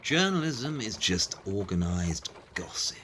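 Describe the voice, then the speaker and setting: Animated, confident Victorian detective. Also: male voice, lowish voice, unhurried, slight background noise. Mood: brisk, certain. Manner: precise, self-assured, deductive. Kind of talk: audio drama acting.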